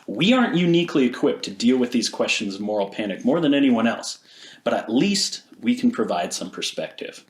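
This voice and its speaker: A man's voice: medium pitch, very animated, fairly quick. An American man co-hosting a video essay with scripted narration, recorded with audible room echo. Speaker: video essay host and teacher.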